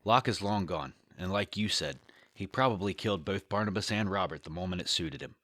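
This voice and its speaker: A man's voice: low-pitched, lively intonation, a little fast, fairly bright tone, no room sound, clean studio sound. A man playing a dismissive, self-assured boss in an audio drama. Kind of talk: audio fiction podcast acting.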